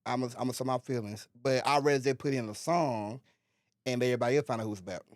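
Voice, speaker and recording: A young man from Mississippi with a Southern accent and a high-pitched voice, chatting casually as a rapper guest. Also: fast, no room sound, clean studio sound. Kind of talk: casual chat.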